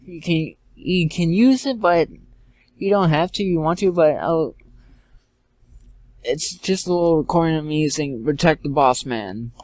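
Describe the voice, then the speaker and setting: Higher-pitched man narrating a software tutorial. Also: no echo. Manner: informal, halting, repeats and corrects himself. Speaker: tutorial presenter. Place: indoors, screen-recording voice-over.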